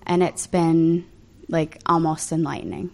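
A woman's voice: deep voice, fairly even intonation, unhurried, vocal fry, darkish tone. A woman sharing her answer with a group in a meeting room. She speaks in an upbeat, talkative way. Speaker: group participant.

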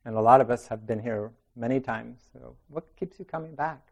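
A man leading a group discussion in a meeting room. He talks in a relaxed way, laughing often and restarting his sentences. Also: mid-range voice, fairly quick, vocal fry. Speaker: meditation group leader.

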